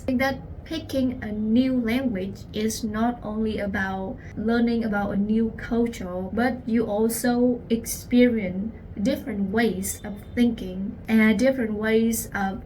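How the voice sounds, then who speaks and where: Slow Vietnamese-accented woman, a little off-mic. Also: fairly high pitch, far from the mic, rough recording. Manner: slow, careful, earnest, speaks to the viewer. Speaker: language teacher. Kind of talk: personal story to camera. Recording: voice a little off-mic, faint room noise.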